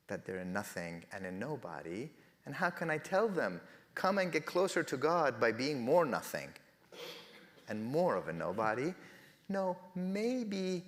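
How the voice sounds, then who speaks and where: A man's voice: mid-range voice, in a large hall. A middle-aged man whose first language is Persian, speaking earnestly at a faith festival and telling stories with rising emphasis. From Iran. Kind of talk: reflective spoken talk.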